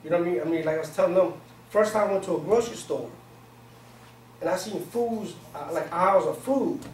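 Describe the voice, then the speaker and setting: Echoey, higher-voiced man giving an informal talk. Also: fairly quick. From Pakistan, grew up in Virginia, US. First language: not English. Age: 40s. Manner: colloquial, streetwise phrasing. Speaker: seminar speaker. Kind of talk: informal motivational talk to a small group. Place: small indoor conference room. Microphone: far camera microphone. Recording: echoey room, distant camera mic, noisy.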